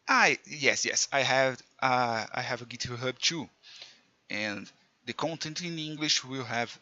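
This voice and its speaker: A man from Brazil with a Brazilian Portuguese accent, a native Portuguese speaker teaching programming in halting English with many restarts. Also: medium pitch, pushed and bright, no echo, highs cut off. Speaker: programming tutor. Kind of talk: screen-recorded coding tutorial.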